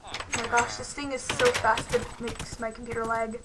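A woman's voice: fairly high pitch, flat delivery, moderate pace, heavy background noise. A young woman host chatting casually through a game, rambling with lots of "like", her voice worn from losing it, on a headset mic at her computer. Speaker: gaming channel host. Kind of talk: casual let's-play commentary.

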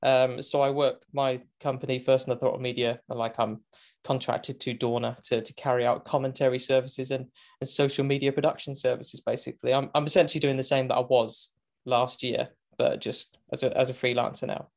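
A young British man in his twenties talks casually as a podcast guest. He is chatty and self-deprecating and laughs easily. His voice sits at an ordinary middle pitch. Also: flat delivery, brisk, creaky. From England. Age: 20s. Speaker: guest, motorcycle racing commentator. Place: home, remote call.

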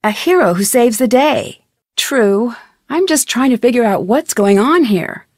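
Video game sleuth: young woman, polite, inquisitive, lightly high voice, dry studio sound. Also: animated, measured pace, darkish tone, no room sound. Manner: inquisitive, polite, clearly enunciated. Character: curious, polite young woman amateur detective. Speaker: game protagonist. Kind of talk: scripted video game dialogue.

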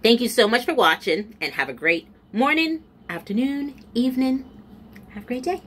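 A woman in her forties, a beauty vlogger talking to camera at home, chatty and a little stuffy and nasal, dropping into low asides. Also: animated, normal speed, fairly bright tone, husky. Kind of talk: casual chat to camera. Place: small room at home, indoors. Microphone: camera in front at close range.

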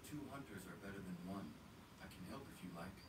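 A stoic young hunter from a video game, speaking tersely and calmly. His voice comes from TV speakers, far off in an echoey room under loud noise. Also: brisk. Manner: calm, terse, low and even. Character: calm stoic young male hunter hero.

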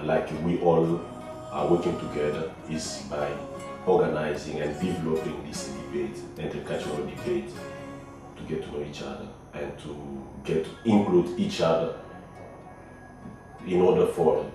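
A young man speaking to camera in an indoor room, hesitant and full of fillers and restarts. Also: extremely low pitch. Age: young adult. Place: indoor room, plain wall. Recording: echoey room, mic at a distance.

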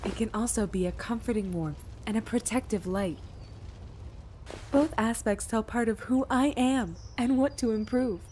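A woman voicing a gentle motherly goat monster in a comic dub, speaking formally, precisely and earnestly. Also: a little high, normal speed, slight background noise, natural voice. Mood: instructive.

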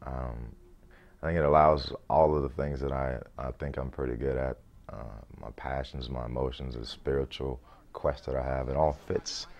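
A man in his thirties with a deep voice, a comedian chatting in an interview in a small studio, easygoing and laughing often, restarting words as he thinks. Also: little pitch movement, moderate pace, darkish tone. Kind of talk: relaxed interview chat. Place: small indoor studio set.

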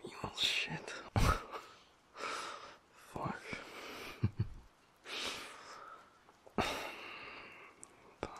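A man playing a teasing, affectionate alpha werewolf boyfriend in an intimate audio roleplay, speaking in a breathy, husky near-whisper. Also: sits low, exaggerated voice. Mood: mock indignant. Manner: playful teasing with soft chuckles and unhurried pauses.

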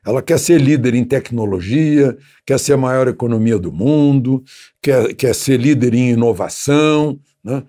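A man's voice: medium pitch, a little slow, mellow. An older man giving seated political commentary in a studio on a lapel mic, talking conversationally with frequent hesitations and tag questions. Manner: seated, gesturing with both hands. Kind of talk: unscripted spoken commentary. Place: small studio with graphic backdrop.

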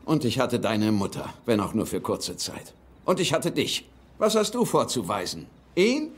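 A man's voice: mid-range voice, big pitch swings, moderate pace, slight background noise, natural voice. An actor playing a skeptical older father, grumbling and dismissive, in a dubbed film. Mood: bitter. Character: bitter, skeptical older father, weary of treasure hunting. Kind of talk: German film dub acting. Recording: film soundtrack with music underneath.

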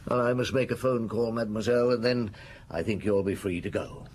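Actor as low-voiced, pondering police inspector. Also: male voice, darkish tone, lightly acted. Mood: grave, reflective. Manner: measured, hesitant, pondering. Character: weary, thoughtful male chief police inspector, measured and courteous. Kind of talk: old radio drama acting. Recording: noisy old radio drama broadcast.

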